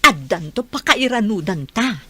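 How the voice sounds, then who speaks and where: A woman's voice: medium pitch, sing-song, unhurried, sharp tone, breathy edge, heavily acted. A woman with an Ilocano accent playing a greedy, calculating middle-aged mother in a radio drama.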